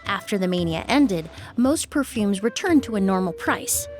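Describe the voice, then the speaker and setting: A woman's voice: medium pitch, animated, over loud music, lightly acted. Woman playing a poised, earnest perfumer. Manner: measured, articulate, explanatory. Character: refined young woman perfumer, calm and earnest. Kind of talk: game voice acting.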